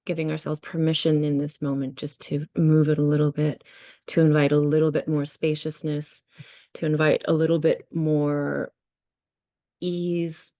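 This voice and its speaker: Low, mellow-voiced woman guiding a meditation. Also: flat delivery, no echo, highs cut off. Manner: gentle, unhurried, with many pauses between short phrases. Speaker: guided meditation facilitator. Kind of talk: guided visualization and meditation. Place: online video session.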